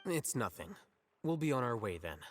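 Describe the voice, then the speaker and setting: An actor playing an aloof, intellectual young male scholar in a video game, with a deadpan, condescending, measured delivery. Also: mid-range voice, in a large hall, soft background music, lightly acted. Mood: dry, composed. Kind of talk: dramatic game character acting.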